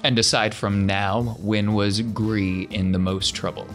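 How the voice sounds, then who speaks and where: A man's voice: on the low side, steady tone, unhurried, a bit bright, soft background music. A man hosting an actual play podcast as game master, talking casually and introducing the game.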